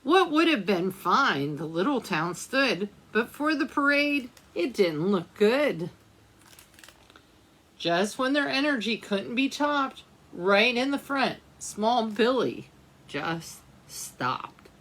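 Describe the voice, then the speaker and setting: A woman's voice: fairly high pitch, big pitch swings, slow pace, a bit bright. A woman reading a picture book aloud for children's storytime, speaking to young listeners as she goes. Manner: addresses young listeners with questions and asides. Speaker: librarian storytime reader.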